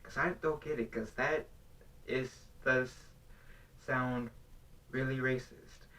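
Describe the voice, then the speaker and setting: A young man talking off the cuff into his own recording, halting and earnest as he circles his point, often breaking off and restarting. His voice sits at an ordinary middle pitch. He sounds set back from the microphone, a little distant in the mix. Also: steady tone, bright, forceful tone. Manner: halting, rambling, earnest questioning. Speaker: vlogger. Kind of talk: unscripted talk to camera. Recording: voice somewhat distant from the mic.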